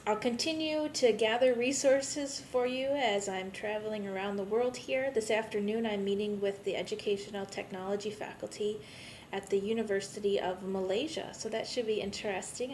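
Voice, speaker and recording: A woman's voice: moderate pace, a little mellow. A woman, a bit tired, giving a conversational, rambling video update to her online students as their course instructor. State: a bit tired, jet-lagged. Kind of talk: informal recorded video message to students. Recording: rumbly background noise.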